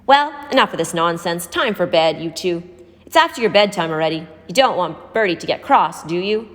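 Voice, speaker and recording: A woman voicing a gentle, wistful, motherly woman who cares for street children in a storybook. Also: lowish voice, expressive, brisk, lightly acted. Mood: motherly, brisk. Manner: reminiscing, fond, motherly. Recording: echoey hall-like reverb.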